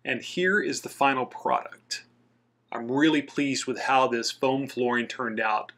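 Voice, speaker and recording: A man's voice: mid-range voice, measured pace, fairly bright tone. A man narrating a scripted how-to voice-over as the host of a DIY outdoors channel.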